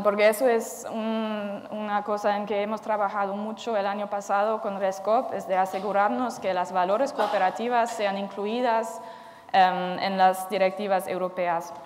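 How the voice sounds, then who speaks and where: A young woman speaks to an audience in a large hall, often stopping to search for words in a language that is not her first. Her voice sits at an ordinary mid pitch. The hall rings with long reverb, with faint noise behind her. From lives in Brussels. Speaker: speaker for a cooperative federation. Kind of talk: conference talk.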